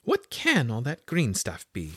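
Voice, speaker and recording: A man voicing a polite, puzzled little girl who speaks high and earnestly. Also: wildly swooping pitch, a little slow, bright, forceful tone, husky, dry recording, clean studio sound, heavily acted.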